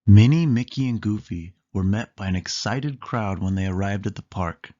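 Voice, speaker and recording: A storyteller reading a children's book aloud in an animated way, lingering on listed words. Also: low-pitched, moderate pace, highs cut off.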